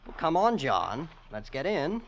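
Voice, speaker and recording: An actor playing a smug young heir who boasts of his family's wealth in a calm, matter-of-fact way, in 1940s radio acting. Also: on the high side, a little slow, slight background noise, exaggerated voice. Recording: dull old radio recording.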